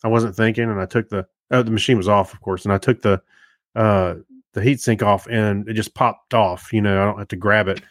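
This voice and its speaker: Middle-aged male podcast co-host, halting and rambling, dry close sound. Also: on the low side, fairly even intonation, no echo. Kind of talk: casual chat.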